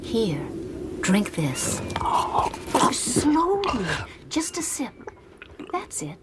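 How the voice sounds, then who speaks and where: An actress playing a gentle, devout pioneer woman in a radio drama, hushed and reverent. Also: fairly high pitch, wildly swooping pitch, drawn-out words, very noisy, full character voice. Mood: soothing.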